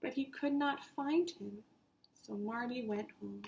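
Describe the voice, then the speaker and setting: A woman with a high-pitched voice reads a children's story aloud like a teacher. She takes it very slowly, with animated, dramatic emphasis, and speaks straight to her young listeners. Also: vocal fry, slight background noise. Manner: animated, addresses listeners directly as friends.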